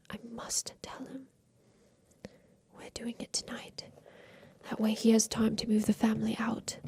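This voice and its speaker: A woman's voice: a little high, full character voice. Woman acting a whispering, wistful aging attic inventor. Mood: resolute, hushed. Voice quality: soft breathy whisper. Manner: slow, wistful, confiding, halting. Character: aging woman inventor confined in haunted attic, plotting revenge. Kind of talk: whispered ASMR roleplay monologue.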